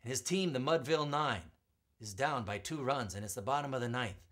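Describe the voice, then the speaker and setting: A man performing as a poetry reader, with a theatrical, emphatic storytelling delivery and drawn-out words. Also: medium pitch, a bit bright. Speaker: performer reading poetry aloud. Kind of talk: dramatic poetry recitation with spoken introduction.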